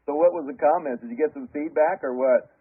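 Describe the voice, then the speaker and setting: A man's voice: on the high side, a little fast, breathy edge. A man hosting a radio interview in a casual, conversational way. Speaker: radio show host, interviewer. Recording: dull, lo-fi radio sound.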